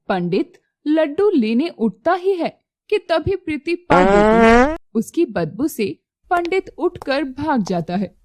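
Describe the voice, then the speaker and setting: A woman narrating a scripted moral story as an expressive storyteller. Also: a little high, no room sound.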